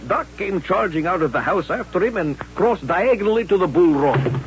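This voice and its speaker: An actor playing a folksy western storyteller, narrating a radio drama in a measured, vivid, dramatic way. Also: male voice, sits high, lively intonation, soft background music. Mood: grave, narrative. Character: folksy western storyteller recalling frontier showdowns. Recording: muffled old radio recording.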